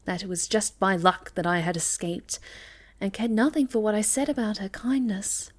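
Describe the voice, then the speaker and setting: A woman playing a sweet, shy young moth girl in an ASMR roleplay, speaking gently and hesitantly, often dropping to a breathy near-whisper. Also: medium pitch, steady tone, moderate pace, natural voice. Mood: tender, wistful. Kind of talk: ASMR roleplay, soft intimate storytelling to the listener.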